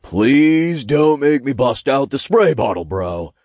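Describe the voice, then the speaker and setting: A man voicing a tiny, crude male imp in an audiobook, loud, slangy and obnoxious. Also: medium pitch, very animated, normal speed, no echo, studio quality, pitched above the performer's own voice. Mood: teasing.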